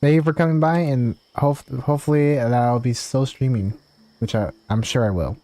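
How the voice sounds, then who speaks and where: A man's voice: mid-range voice, moderate pace, dark tone. A man chatting casually and easily as he live-streams a game, rambling a little.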